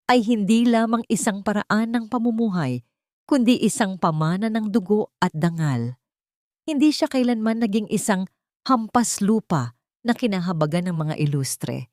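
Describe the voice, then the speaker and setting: A woman reading a scripted dramatic story as narrator, in a measured, literary storytelling manner. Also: on the low side, darkish tone, no room sound, studio quality.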